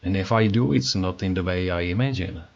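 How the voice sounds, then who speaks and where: A man's voice: sits low, fairly quick, vocal fry, highs cut off. A man with a Czech accent, whose first language is Czech, narrating a scripted voice-over as an artist in an earnest, reflective, self-critical way. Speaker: artist and channel creator.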